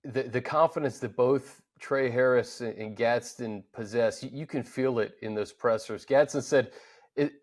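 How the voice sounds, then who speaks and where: A man with an American accent and a medium-pitched voice co-hosts a sports show. His analysis is casual and conversational, with an earnest delivery into a studio mic. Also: normal speed, a little mellow.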